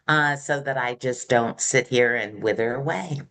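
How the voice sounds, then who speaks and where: A woman's voice: rumbling low, normal speed, a bit bright. An American woman in her seventies telling personal stories in conversation as a podcast guest, candid and acting out bits of dialogue. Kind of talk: reflective personal storytelling in conversation.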